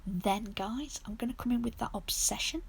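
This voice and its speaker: A woman with a croaky, sore-throated voice, softly narrating a nail art tutorial as the channel's host. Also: a little high, normal speed, a little hiss or hum. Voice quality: husky, gravelly voice. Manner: soft, half-whispered step-by-step narration.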